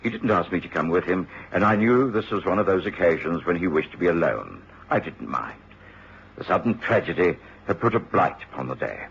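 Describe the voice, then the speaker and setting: An actor playing a genial gentleman companion who narrates a radio drama in a measured, expressive way. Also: lowish voice, slightly rough, exaggerated voice. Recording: muffled radio recording, faint noise.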